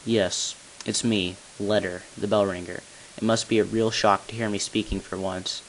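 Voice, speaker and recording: A man playing a tall, solemn bell ringer who reveals long-kept secrets in grave, deliberate narration for a game. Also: lowish voice, level tone, close to an everyday voice. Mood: grave, urgent. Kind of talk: scripted character narration, amateur game voice-over. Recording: hissy, noisy amateur home recording.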